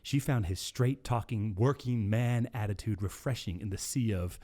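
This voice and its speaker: A man's voice: on the low side, normal speed, dark tone. A man co-hosting a podcast, chatting casually with lots of swearing and stumbling through a story he is sight-reading. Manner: profane, joking, stumbles over words while reading. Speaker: podcast co-host.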